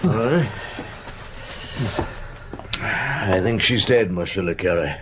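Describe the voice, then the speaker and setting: Slow, deep-voiced, brooding police inspector. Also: male voice, expressive, background noise. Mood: calm, official. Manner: slow, ruminative, terse. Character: middle-aged male police chief inspector, brooding and observant. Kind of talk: radio detective drama acting with inner monologue.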